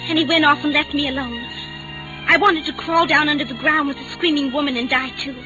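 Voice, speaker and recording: Woman as insistent young girl, high voice, old-time radio acting, dull vintage sound. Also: steady tone, brisk, lightly acted. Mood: plaintive, determined. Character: earnest, insistent young girl, stubborn and imaginative. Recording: muffled old-time radio recording with music.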